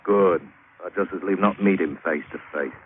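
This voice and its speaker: A man's voice: deep voice, normal speed, natural voice. An actor playing a roguish, crafty working-class British adventurer who spins his yarn colloquially in an old radio drama. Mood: gruff, uneasy. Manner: colloquial, wry storytelling with folksy grammar. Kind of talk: old-time radio drama narration and dialogue. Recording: tinny, hissy old radio recording.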